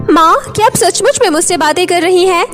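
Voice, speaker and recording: A woman's voice: very high voice, animated, brisk, fairly bright tone, faint music behind, pitched above the performer's own voice. A woman voicing a poor, caring orphaned elder sister in a cartoon story, earnest and tender. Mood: tearful distress. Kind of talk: Hindi cartoon moral story.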